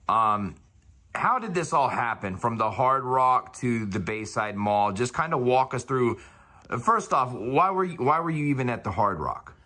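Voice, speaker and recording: A man interviewing an eyewitness, asking questions in a slow, measured way. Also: medium pitch, sharp tone.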